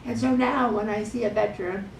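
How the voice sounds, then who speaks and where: A woman talks with enthusiasm at a film discussion. She is heard from a distance. The room rings and steady ambient noise fills the background. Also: mid-range voice, a little fast. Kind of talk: panel discussion. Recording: echoey hall from off-mic with noise.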